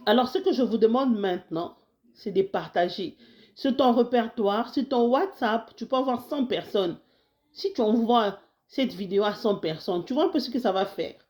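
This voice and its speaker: A woman from Congo talks to her audience, her voice slightly raised in pitch yet dark and mellow. She is a little hoarse from a cold and stumbles and restarts as she speaks. She keeps pressing her listeners to share and subscribe. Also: normal speed. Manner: warm exhortations, frequent restarts, mixes in Lingala phrases. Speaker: health video host. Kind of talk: casual talk to camera. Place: lives in the United States.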